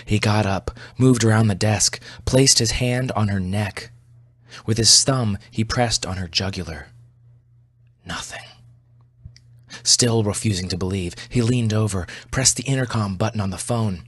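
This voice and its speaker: A man reading scripted fiction as a podcast narrator, with a dramatic, deliberate delivery. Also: lowish voice, dry recording.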